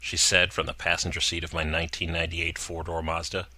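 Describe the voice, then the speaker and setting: A man narrating a horror story in a slow, deliberate way with dramatic pauses. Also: sits low, completely flat delivery, pushed and bright, no echo.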